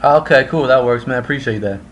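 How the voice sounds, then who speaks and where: A man's voice: medium pitch, fast, fairly bright tone, lo-fi sound, slight background noise. A man interviewing a guest, polite and encouraging in a casual tone.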